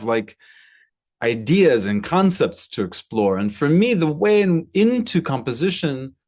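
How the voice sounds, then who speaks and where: An American man in his thirties talking reflectively in an interview, searching for his words and repeating some for emphasis. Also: medium pitch. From Brooklyn, United States. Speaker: composer and violinist interviewee.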